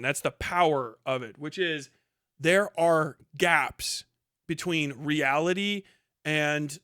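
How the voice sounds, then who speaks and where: A man's voice: on the high side, bright, forceful tone, no echo, studio quality. A man delivering a casual, ranting livestream monologue in a colloquial, rambling, sweary way. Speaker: livestream host.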